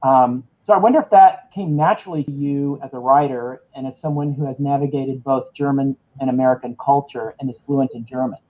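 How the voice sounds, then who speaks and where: A man hosts a book launch interview, asking long questions with plenty of hesitant fillers. His voice sits at a middle pitch. The recording sounds dull and lo-fi. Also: fairly quick, hardly any pauses.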